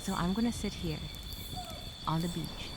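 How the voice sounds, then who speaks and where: A woman performing a stranded castaway speaking a journal aloud. She is wry and weary, with a sarcastic streak of hope. Crickets and insects chirp clearly behind her. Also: fairly low pitch, moderate pace, background noise, close to an everyday voice. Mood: weary musing. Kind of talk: dramatic first-person journal monologue.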